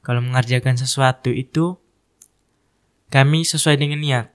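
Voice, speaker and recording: A teenage high school student answering interview questions in hesitant, broken-up phrases. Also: male voice, fairly even intonation, moderate pace, clear, resonant tone. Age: teens. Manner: hesitant, speaks in short pieces. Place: studio.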